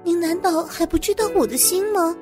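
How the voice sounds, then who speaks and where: 一个女人在有声故事里演绎一名年轻成年下属，语气殷勤、恭顺，一味讨好。. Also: female voice, high voice, measured pace, pitched above the performer's own voice. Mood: eager, flattering. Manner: ingratiating, solicitous. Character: young adult subordinate, eager, fawning and deferential. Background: music.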